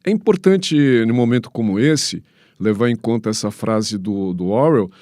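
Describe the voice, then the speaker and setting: A Brazilian man answering as an expert guest in a halting, didactic way, pausing mid-phrase to search for words. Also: lowish voice, animated, mellow, no room sound, studio quality. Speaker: history professor, guest commentator.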